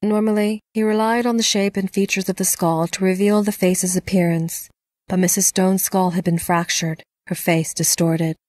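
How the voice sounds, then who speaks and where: A woman narrating a scripted horror story in a measured, dramatic storytelling manner. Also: mid-range voice, little pitch movement, darkish tone, dry recording.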